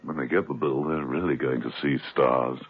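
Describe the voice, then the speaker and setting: A jaunty gentleman spy. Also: very deep voice, steady tone, moderate pace. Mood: bantering. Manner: jaunty, teasing banter. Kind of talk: 1970s radio drama acting. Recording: hissy dull old radio broadcast.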